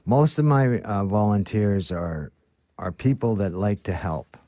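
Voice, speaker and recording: A man chatting casually as a radio guest, plain-spoken and a little halting. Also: lowish voice, animated, mellow, no room sound, clean studio sound. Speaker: guest, thrift store manager. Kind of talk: casual radio interview chat.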